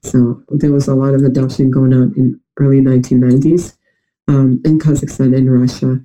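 Mellow, nasal man with New York-like accent. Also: medium pitch, little pitch movement, normal speed, rough recording. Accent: New York-like American. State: congested from a recent illness. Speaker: interview guest. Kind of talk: personal storytelling in an interview.

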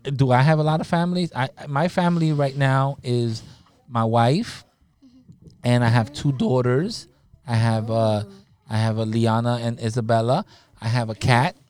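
A man's voice: medium pitch, moderate pace, a little mellow, clear, resonant tone. A middle-aged man chatting casually with a group of children, easygoing, repeating himself and restarting his sentences. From United States. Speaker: staff member talking with children. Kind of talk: casual chat with kids.

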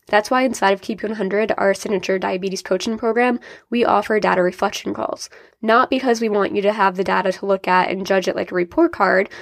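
A woman's voice: medium pitch, gravelly, no room sound, studio quality. A young adult woman hosting a solo podcast, sounding a bit nasal and congested from a sinus infection, explaining things upbeat and directly to her listeners. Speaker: podcast host and diabetes coach.

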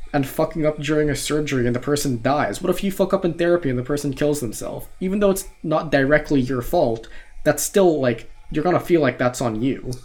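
A man's voice: a little high, darkish tone. A young man in his late teens from Australia, speaking with an American accent, chatting loosely and swearing freely as a podcast co-host. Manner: casual, loose and profane, rambling with restarts. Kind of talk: casual chat.

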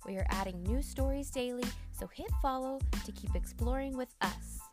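A woman reading a children's picture book aloud as a storytime host, with expressive emphasis on key words. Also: high voice, normal speed, music in the background. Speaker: storytime podcast host and reader.

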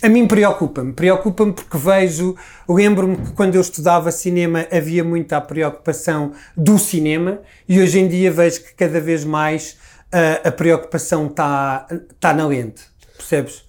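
A man's voice: fairly high pitch, steady tone, moderate pace, darkish tone. A middle-aged man from Lisbon with a Lisbon Portuguese accent, talking as a guest in a casual, reflective conversation full of restarts. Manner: reflective, rambling with many restarts.

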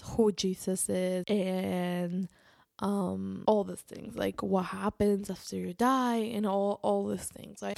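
Creaky-voiced woman chatting casually. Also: mid-range voice, a little mellow, no echo, studio quality. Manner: informal, halting, restarts sentences and quotes herself often. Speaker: vlogger. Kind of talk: casual personal storytelling to camera.

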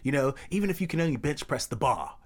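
A man hosting a casual podcast chat, his voice rising and falling widely as he talks. He is animated and full of slang, exclaiming as he goes. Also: fairly high pitch, fast. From Los Angeles area.

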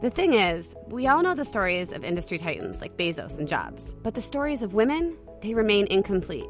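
A woman podcast host reading a promo in a warm, personal way. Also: mid-range voice, sing-song, fairly quick, faint music behind.

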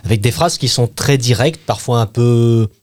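A man co-hosting a casual radio discussion, giving measured critical opinions. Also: mid-range voice, darkish tone. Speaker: radio show co-host.

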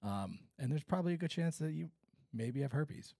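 Husky gravelly guy rambling on a podcast. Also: fairly high pitch, lively intonation, normal speed, mellow, dry recording, studio quality. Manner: laid-back, rambling, joking. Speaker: podcast co-host. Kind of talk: casual banter.

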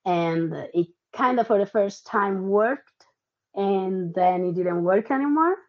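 A woman's voice: mid-range voice, lively intonation, slow pace. A woman who grew up in Italy, a podcast guest chatting casually and hesitantly with lots of ums and restarts. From Argentina and Italy. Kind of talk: casual interview chat. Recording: dull lo-fi audio.

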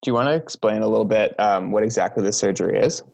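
A man's voice: on the low side, fast talker, creaky, darkish tone. A young man hosting a sports podcast, introducing guests in an upbeat way. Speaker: podcast host.

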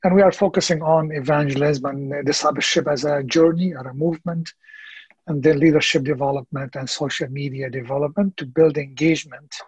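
Husky man in his fifties, lo-fi. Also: on the high side, steady tone, dry recording. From Egypt. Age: late 50s. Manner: halting, many fillers, warm and earnest. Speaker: ministry leader guest. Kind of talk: casual interview conversation.

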